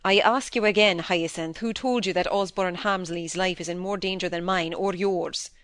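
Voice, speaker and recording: A woman reading an audiobook, playing a stern middle-aged husband who questions gravely, with clipped insistence. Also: on the low side, steady tone, normal speed, fairly bright tone, no room sound, close to an everyday voice. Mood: stern, demanding.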